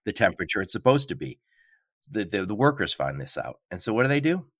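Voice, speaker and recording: A middle-aged man hosting a podcast interview, telling stories in an animated way and chuckling as he goes. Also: fairly low pitch, fast talker, husky, no room sound, clean studio sound. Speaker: podcast host.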